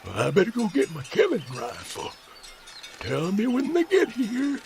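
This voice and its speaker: Forgetful old southern grandpa, cranky n excitable, voice jumps up and down a lot, comedy audio play. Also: male voice, very high voice, moderate pace, creaky, noisy background, exaggerated voice. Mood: befuddled alarm. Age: older. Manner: repetitive, scatterbrained, folksy. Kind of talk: comedic audio drama acting.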